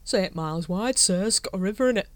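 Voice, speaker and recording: A woman voicing a slow, literal-minded male watch sergeant who talks hesitantly. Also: mid-range voice, dry recording, lightly acted. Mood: puzzled.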